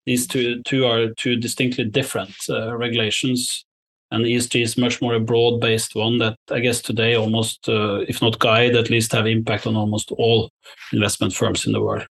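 Measured man giving careful interview answers. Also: medium pitch, level tone, gravelly, a bit bright, low-quality recording. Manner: measured, diplomatic, careful wording. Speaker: investor, interview guest.

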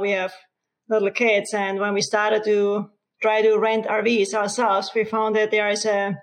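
A woman with an Austrian accent, a company co-founder telling her story in a relaxed interview. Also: mid-range voice, rough recording. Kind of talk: casual interview talk.

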